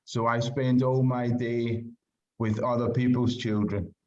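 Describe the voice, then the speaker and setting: A man's voice: mid-range voice, flat delivery, a little slow, a little mellow. A middle-aged man with a Scottish accent, answering casually as a writer being interviewed, heard through lo-fi call audio. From Glasgow, Scotland.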